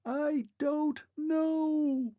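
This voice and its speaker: A man voicing a weary clockwork man whose thin, shrill voice seems to fade away. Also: a little slow, vocal fry, darkish tone, dry recording, highs cut off. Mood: shrill, fading. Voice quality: thin and shrill, fading into distance. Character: fading mechanical clockwork man, weary and strange.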